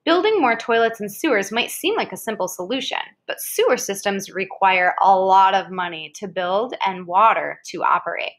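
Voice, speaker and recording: A woman's voice: mid-range voice, expressive, moderate pace, few pauses, fairly bright tone. An American woman reading an educational article aloud in a conversational way, dropping in short casual asides. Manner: conversational, interjects short reactions. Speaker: reader of an article aloud to a child.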